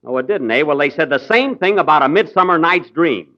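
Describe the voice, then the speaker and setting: A man's voice: fairly high pitch, lively intonation, brisk. A man hosting a 1940s radio comedy show, with wry, indignant comic timing. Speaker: comedian host. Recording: dull old radio broadcast recording. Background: studio audience laughter.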